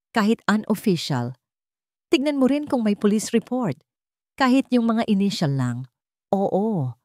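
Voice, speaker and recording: A woman voicing a composed woman investigator who speaks calmly but with emphasis, in a dramatic audio story. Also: medium pitch, dry recording, studio quality. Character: firm, composed woman investigator calling in a favor.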